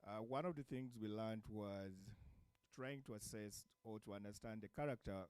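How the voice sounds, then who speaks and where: A man investor on a panel answering a question in a measured, soft-spoken way. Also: lowish voice, clear, resonant tone. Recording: distant from the mic.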